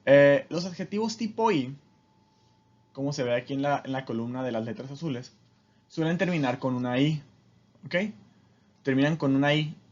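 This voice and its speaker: A man's voice: mid-range voice, moderate pace, pauses often, a little mellow, lo-fi sound. A man giving an informal, explanatory language lesson as a teacher, with a didactic manner and frequent short check-in questions.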